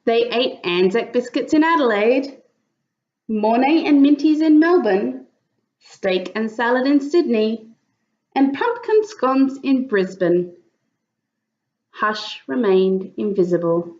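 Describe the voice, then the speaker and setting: Slow, animated woman reading a children's story. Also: on the high side, dull audio. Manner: dramatic emphasis for young listeners. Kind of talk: expressive picture-book storytelling.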